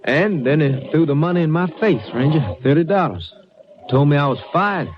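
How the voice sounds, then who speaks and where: A man's voice: a little high, expressive, moderate pace, natural voice. Man playing an earnest ranch hand, noisy old recording. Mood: earnest recounting. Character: earnest, uneasy, deferential ranch hand under questioning. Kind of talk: 1940s-50s radio drama acting. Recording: dull, noisy vintage radio recording.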